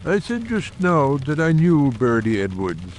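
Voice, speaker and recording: A man's voice: on the high side, wildly swooping pitch, measured pace, a little mellow, slight background noise. A man voicing an earnest, shrewd and confident secret society member making formal, persuasive speeches in a dramatised audiobook. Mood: confiding, assured.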